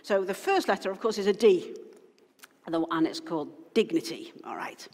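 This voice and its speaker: A woman's voice: mid-range voice, lively intonation, normal speed, darkish tone. A woman pastor giving an informal, rambling sermon to her congregation, full of asides and restarts. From Wales. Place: church hall. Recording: long hall reverb.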